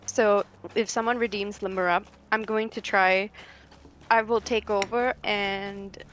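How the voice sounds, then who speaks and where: A woman with a calming voice, chatting casually and softly as a co-streamer on a game stream. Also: mid-range voice, a little hiss or hum.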